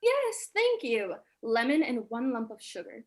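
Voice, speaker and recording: A woman's voice: fairly high pitch, sing-song, a little slow. A woman playing a polite, reserved married mother newly arrived in the neighborhood, in a staged play reading. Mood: courteous, composed. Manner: courteous, composed.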